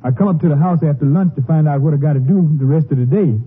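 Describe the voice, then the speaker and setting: An actor playing a frightened, deferential farmhand held prisoner in a 1950s radio western, folksy, with a Southern US accent. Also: male voice, fairly high pitch, fast talker, husky, narrow, tinny audio. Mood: pleading. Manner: humble, folksy, respectful. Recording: thin, band-limited 1950s radio recording.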